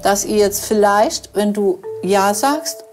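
A woman's voice: medium pitch, slow, a little mellow. A woman working as a fortune teller, giving blunt, matter-of-fact tarot readings at a table, with faint music behind her.

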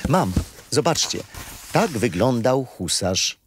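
Kindly, expressive storytelling grandfather. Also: medium pitch, slow pace, slight background noise. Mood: kindly, explaining. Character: kindly grandfather telling history to his grandchildren. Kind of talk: children's radio play acting.

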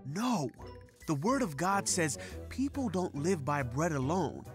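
A man's voice: a little high, lively intonation, unhurried, over music. A man voicing a steadfast holy man who answers firmly in a children's cartoon story.

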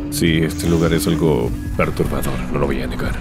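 A man with a Latin American Spanish accent voicing a hot-tempered but loyal robot soldier in an animated fan dub, dramatic and slangy. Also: rumbling low, normal speed. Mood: uneasy, subdued. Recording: music over the voice.

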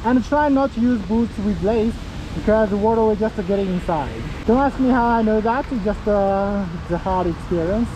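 A young man from China with a Chinese accent, speaking English as a second language in a casual motorcycle gear vlog with plain, practical phrasing and frequent pauses. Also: sits high. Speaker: motorcycle vlogger. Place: outdoors riding a motorbike in the rain, then a small room indoors. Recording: dull lo-fi audio with traffic noise.

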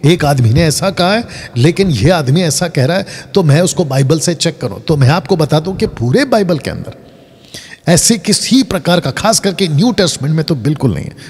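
Husky man preaching in a reverberant space. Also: on the high side, big pitch swings, moderate pace, darkish tone. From India. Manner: conversational preaching, mixing Hindi with English words; rhetorical questions. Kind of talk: online sermon.

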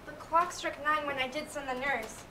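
A woman's voice: high-pitched, steady tone, normal speed, lightly acted. A woman playing an impatient, lovesick young girl in a Shakespeare play, pleading and declaiming. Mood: eager. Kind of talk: Shakespearean stage acting. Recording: echoey room, distant mic, faint noise.

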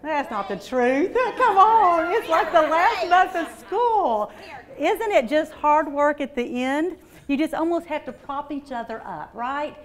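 A woman, a school administrator giving an energetic, folksy training talk to teachers in a large meeting room on a lapel mic. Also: sits high, a little slow. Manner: energetic, folksy, animated gestures. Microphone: lapel mics.

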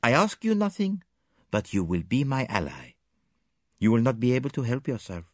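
A man voicing a calm, shrewd male detective in a storybook reading, formal, measured and gently insistent. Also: mid-range voice, dry recording, clean studio sound, pitched above the performer's own voice. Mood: quietly confident. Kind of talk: audiobook character dialogue.

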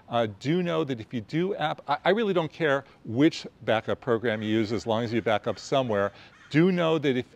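American guy in his 60s hosting a travel tips video, mid pitched voice, punches each point in a list. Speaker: host of a travel photography video show. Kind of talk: presenting gear tips to camera. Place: outdoors on a quiet residential street.

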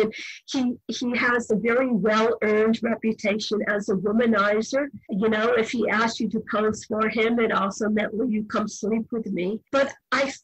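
An older woman novelist answering interview questions in a thoughtful, measured way. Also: mid-range voice, breathy edge, no echo, rough recording. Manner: thoughtful, measured, occasional restarts. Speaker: novelist guest.

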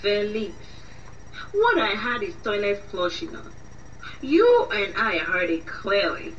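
Radio drama: accusing middle-aged Nigerian aunt, scolding, slightly off-mic on a dull recording. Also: female voice, medium pitch, sing-song. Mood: accusing, indignant. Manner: scolding, drawn-out emphasis. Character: scornful, suspicious middle-aged Nigerian auntie, blunt and accusing. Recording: dull, distant, faintly noisy recording.

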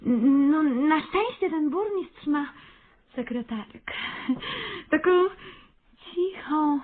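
A woman's voice: high voice, animated, takes it slowly. An actress in a radio play voicing a shy, dreamy romantic woman who stammers and is easily hurt. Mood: earnest, flustered. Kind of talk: Polish radio drama acting. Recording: muffled, band-limited radio recording.

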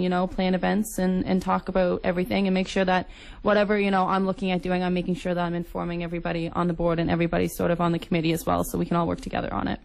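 Creaky low-pitched young Canadian woman. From Nova Scotia, Canada. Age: young adult. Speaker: guest, incoming student union executive. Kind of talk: casual radio interview. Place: radio studio.

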